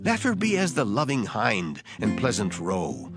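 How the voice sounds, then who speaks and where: Solemn, expressive male scripture narrator. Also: lowish voice, measured pace. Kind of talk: dramatised scripture reading in archaic English. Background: music.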